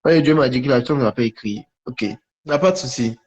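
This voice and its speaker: A man preaching in a conversational, charismatic style to online viewers, repeating short phrases for emphasis with pauses between them. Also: medium pitch, darkish tone. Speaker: preacher hosting a live online prophetic session. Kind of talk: charismatic sermon and prophetic teaching, conversational, addressing viewers. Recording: dull, lo-fi livestream audio.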